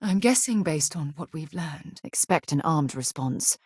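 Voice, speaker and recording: An actress voicing a composed, dry-witted woman explorer and leader in a video game, speaking in a measured, articulate way. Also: low voice, expressive, a little mellow, breathy edge, no room sound, exaggerated voice. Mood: calm, wry. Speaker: companion character. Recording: dry studio recording.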